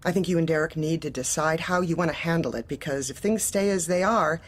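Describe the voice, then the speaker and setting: An actress playing a cold, manipulative corporate manager in an audio drama, clipped, controlled and falsely polite. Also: female voice, sits low, a little fast. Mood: coolly threatening. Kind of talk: audio drama acting, dark comedy.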